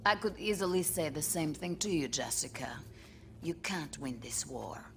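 Brief: stern female spy for a game cutscene, lowish measured voice, faint background noise. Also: lively intonation. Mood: cool warning. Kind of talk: video game cutscene acting.

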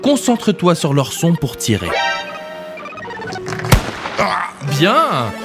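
Man as an animated, high-pitched spy trainer. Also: slow, gravelly, loud music behind the voice, exaggerated voice. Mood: coaching. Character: excitable male spy trainer, cheerfully patronising. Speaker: game master. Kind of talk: radio comedy sci-fi acting.

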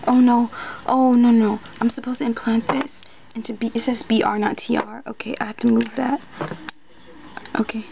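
Hesitant, creaky-voiced woman muttering in ASMR. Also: fairly high pitch, flat delivery, normal speed, background noise. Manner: hesitant, flustered, repeats words, often slipping into whispers. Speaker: ASMR roleplayer acting as an unprepared student taking a quiz. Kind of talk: ASMR roleplay, muttering to herself.

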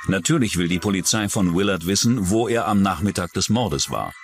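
A man's voice: low voice, expressive, brisk. A man narrating a scripted true-crime documentary as its narrator. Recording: music bed under the voice. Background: music.

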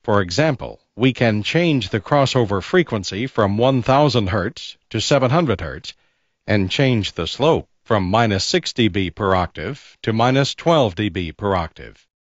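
Male instructional narrator, low register, measured and didactic, very dry voice-over with slightly muffled sound. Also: lively intonation, no room sound. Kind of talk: scripted educational narration. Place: close, dry voice-over recording.

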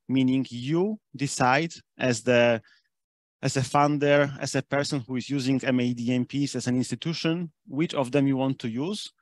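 A man's voice: mid-range voice, dry recording. A man giving an explanatory technical presentation to slides, speaking as a conference presenter. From Austria (based there).

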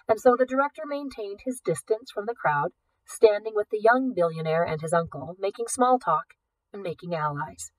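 A woman's voice: medium pitch, lively intonation, normal speed, no room sound. Woman reading a novel, slightly distant. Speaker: audiobook reader. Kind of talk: expressive scripted storybook narration.

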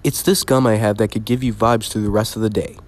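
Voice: mid-range voice, steady tone, quick pace, darkish tone. An amateur voice actor dubbing a toy car for a fan-made video. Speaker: hobby voice actor.

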